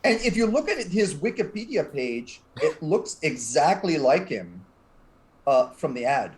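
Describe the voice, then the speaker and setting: A man's voice: on the high side, big pitch swings, measured pace. A man chatting casually as a guest on a panel. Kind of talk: casual chat.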